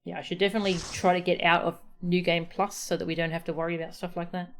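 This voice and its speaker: A woman's voice: lowish voice, flat delivery, quick pace, faint music behind. A woman game streamer giving casual live commentary as she plays.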